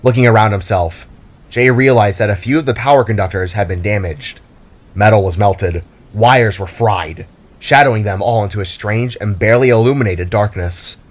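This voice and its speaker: A man's voice: on the low side, moderate pace. A man narrating a scripted fantasy story in a dramatic storytelling style.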